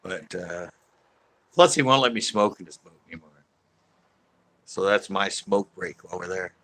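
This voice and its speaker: A man's voice: sits low, moderate pace, bright, forceful tone, no room sound. A man hosting a fishing channel, chatting casually on a live stream, rambling with many fillers and restarts and laughing often.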